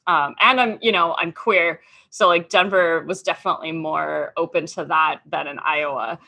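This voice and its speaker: A woman's voice: deep voice, fairly even intonation, normal speed, gravelly, pushed and bright. A woman in her thirties from Australia, a guest chatting casually in an interview, full of "um" and "like". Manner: chatty, lots of fillers and "like". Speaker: podcast guest.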